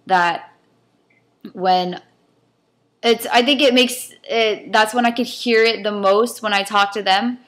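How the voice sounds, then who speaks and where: A woman's voice: mid-range voice, a bit bright. Young Californian woman with a muddled accent. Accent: California, mixed with a Chinese-immigrant lilt. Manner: rambling, hesitant, thinking aloud with many ums. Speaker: vlogger. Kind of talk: casual rant to camera.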